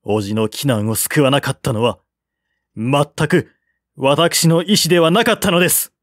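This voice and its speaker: A beaten young general and adopted son acted by a male narrator in a historical audiobook. He pleads and justifies himself earnestly, the pitch lifted well up. The recording is dry. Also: normal speed, no echo, lightly acted. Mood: pleading.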